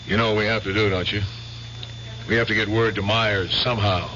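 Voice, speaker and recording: An actor playing a cautious, practical war veteran in an old-time radio drama, with a pressing, insistent manner. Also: male voice, on the low side, steady tone, a little fast, pushed and bright, slight background noise. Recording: dull, humming old radio recording.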